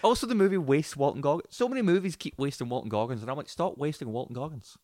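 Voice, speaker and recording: A man's voice: on the high side, lively intonation, quick pace, a little mellow, no room sound, clean studio sound. A man co-hosting a casual podcast, giving his opinions and often acting out imagined reactions. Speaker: podcast co-host and film reviewer.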